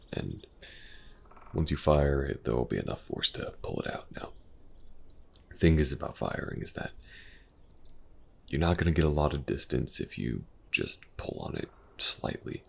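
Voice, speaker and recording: A very deep-voiced elf mercenary, acted by a man, full of gravelly creak. He is a patient, gently awkward companion in a fantasy audio roleplay, speaking softly with halting pauses. Faint outdoor ambience sits behind him. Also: slight background noise, heavily acted. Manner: hesitant, soft-spoken, casual, with halting pauses.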